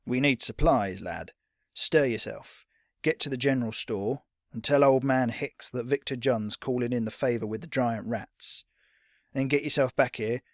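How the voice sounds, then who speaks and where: A man voicing a gruff, curt retired old fighter with a rough, lowered voice. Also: moderate pace, no echo, clean studio sound, lightly acted. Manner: curt and menacing.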